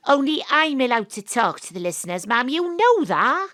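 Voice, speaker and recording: An actress playing a bossy, exasperated Welsh private investigator, insistent and bantering, in a comic audio drama. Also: female voice, high-pitched, very animated, moderate pace, fairly bright tone, no room sound, studio quality.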